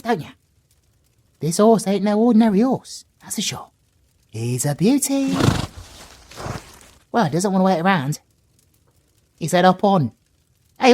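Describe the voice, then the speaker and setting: A man's voice: sits high, heavily acted. A man playing a brash, joking young adventurer with a British accent, chatty and slangy in manner. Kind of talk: audio roleplay acting.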